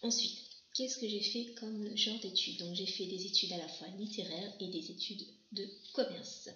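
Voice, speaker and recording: A woman in her thirties talks about herself in a halting, casual way, stopping often on drawn-out fillers. Her voice is bright and clear. The sound is roomy and a little dull. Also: medium pitch. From southwest France. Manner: hesitant, many pauses and "euh" fillers. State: pregnant. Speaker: vlogger. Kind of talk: casual self-introduction vlog.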